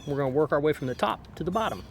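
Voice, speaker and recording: A man's voice: on the high side, lively intonation, rapid-fire. Casual man reviewing gear, noisy outdoor recording. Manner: informal, explanatory. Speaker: product reviewer. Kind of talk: casual hands-on gadget review. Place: outdoors on a back deck. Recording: outdoor background noise.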